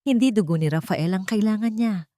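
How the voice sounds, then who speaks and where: A woman's voice: mid-range voice, brisk, mellow, dry recording, clean studio sound, pitched above the performer's own voice, lightly acted. A woman voicing a determined elderly grandmother who speaks firmly and gives orders. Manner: firm, commanding.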